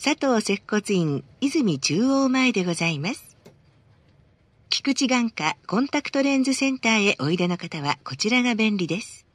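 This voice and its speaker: Lively, melodic woman reading bus adverts. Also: on the high side, moderate pace, dry recording. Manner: polite, formal. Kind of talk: scripted advertising announcement.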